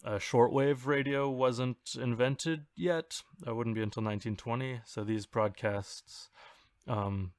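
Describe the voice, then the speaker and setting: A man co-hosting a podcast, explaining things in an informal, halting way. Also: mid-range voice, steady tone, a little mellow, no echo, studio quality. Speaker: podcast co-host. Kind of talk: casual explanatory podcast chat.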